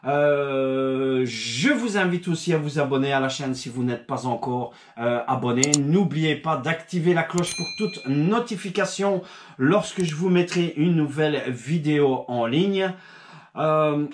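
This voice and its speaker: A man's voice: fairly high pitch, measured pace, slight background noise. Male channel host, a bit stuffed up from a cold, chatty with lots of hesitations, recorded in a reverberant room. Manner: rambling, many fillers and restarts. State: recovering from a cold. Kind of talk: casual video talk to subscribers. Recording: echoey room.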